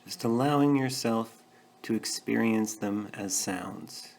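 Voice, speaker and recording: A man leading a guided meditation, speaking gently and in a measured way with pauses between short phrases. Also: lowish voice, gravelly. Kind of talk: guided meditation, calm instruction.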